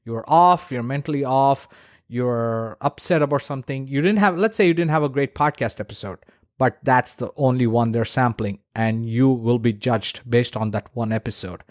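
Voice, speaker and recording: A man's voice: mid-range voice, moderate pace, no echo, studio quality. A man hosting a solo podcast, explaining practical advice in a conversational way and repeating points for emphasis. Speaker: podcast host.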